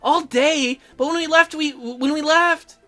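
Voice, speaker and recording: Man putting on a bright, high, excitable teenage girl. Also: a little slow, faint music behind, full character voice. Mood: puzzled, worried. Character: teenage girl heroine, earnest, curious, excitable. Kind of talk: dating sim dialogue read aloud.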